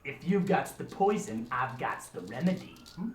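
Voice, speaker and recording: A woman's voice: rumbling low, big pitch swings, moderate pace. A woman voicing a brash, theatrical male adventurer hero in a sock puppet movie parody. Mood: cocky. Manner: theatrical, exclaiming. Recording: roomy, distant microphone.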